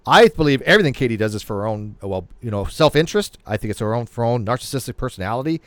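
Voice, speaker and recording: Man, late forties, livestream host, rambling, lots of restarts, lively up-and-down pitch. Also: racing, no echo. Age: 40s. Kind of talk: casual livestream chat.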